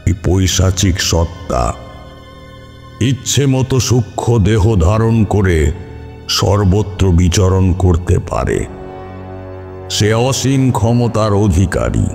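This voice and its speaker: An actor playing a solemn older male tantric priest in a horror cartoon, speaking formal, measured counsel. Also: fairly low pitch. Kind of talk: dramatic Bengali horror cartoon voice acting. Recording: long reverb, music behind. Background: music.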